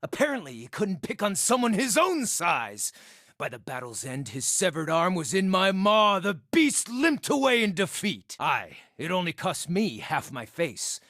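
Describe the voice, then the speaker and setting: A cheeky, scarred little druid outcast from a fantasy game. The voice sits fairly high for a male and swoops with lively intonation. The phrasing is wordy, wry and theatrical, recorded close and dry. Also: a little slow, dry recording, heavily acted. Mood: wry, storytelling. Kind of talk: video game character dialogue.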